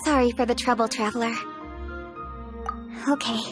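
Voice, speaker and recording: A woman's voice: on the high side, fairly even intonation, normal speed, loud music behind the voice, close to an everyday voice. A woman voicing a poised, businesslike young noblewoman official in a video game, formal and articulate. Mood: polite. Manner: formal, articulate, matter-of-fact.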